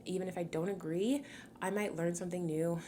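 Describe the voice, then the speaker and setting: A woman in her twenties, from Cameroon, hosting a solo podcast in a chatty, upbeat way, laughing often and filling pauses with ums. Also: fairly low pitch, fairly even intonation, dark tone, slight background noise. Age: 20s. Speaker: podcast host.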